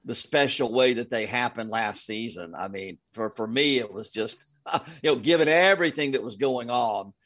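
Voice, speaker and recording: A man with a sports announcer's background chats as an interview guest. His voice is mid-pitched, and the sound is dry with very little room in it. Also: moderate pace, a bit bright, dry recording.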